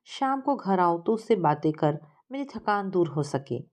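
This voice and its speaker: A woman's voice: fairly low pitch, sing-song, unhurried, a little mellow. A woman voicing an earnest, wishful young man in a narrated story. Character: young man earnestly describing his wished-for bride.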